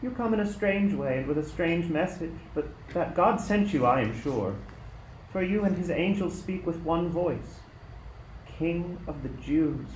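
Casting: man as tender, humble young mother, lightly raised pitch, reverent radio drama, roomy sound. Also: darkish tone, natural voice. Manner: earnest, measured, reverent. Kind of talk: amateur radio play acting. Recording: echoey room, faint noise.

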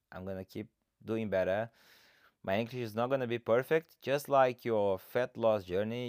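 A man's voice: mid-range voice, smooth, no room sound, studio quality. A man from Brazil with a Brazilian Portuguese accent, a coach hosting his own podcast and speaking to listeners in an earnest, encouraging, motivational way. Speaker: fat loss coach, podcast host. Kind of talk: solo podcast monologue.

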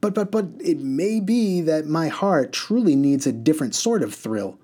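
A man's voice: a little high, very animated, normal speed, pitched above the performer's own voice. A man doing a gleeful, bellowing reckless driver. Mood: bellowing riddles. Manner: loud, bellowing, sing-song, rambling riddles. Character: reckless gleeful male bus driver, boastful.

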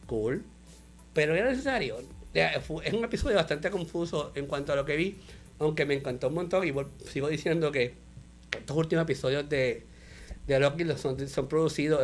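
A man, co-hosting a casual show review chat, animated and mixing in English words. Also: fairly high pitch. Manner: animated, mixes English words, laughs often. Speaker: podcast co-host.

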